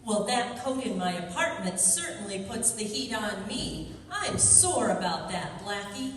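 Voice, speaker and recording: A woman acts out a miffed, arch girlfriend in a radio detective play, with animated swings of pitch. She is heard from afar in a strongly echoing hall. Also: unhurried. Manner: arch, sarcastic. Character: sarcastic, miffed female sweetheart of a sleuth. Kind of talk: old-time radio detective drama acting. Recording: echoey hall, distant, faint noise.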